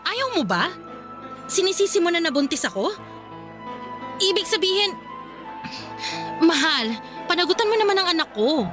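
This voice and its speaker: A woman's voice: very high-pitched, very animated, normal speed, pauses often. An actress playing an emotional, pleading young pregnant girlfriend who stands her ground in a Filipino radio drama. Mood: hurt, accusing. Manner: emotional, confrontational. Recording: music bed under the voice.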